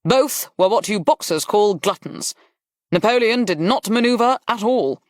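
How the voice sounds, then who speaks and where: A narrator voicing a brisk, blunt aristocratic general in an audiobook, with clipped, emphatic phrasing. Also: medium pitch, animated, dry recording, clean studio sound, pitched above the performer's own voice, natural voice. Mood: animated, emphatic. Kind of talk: audiobook character dialogue.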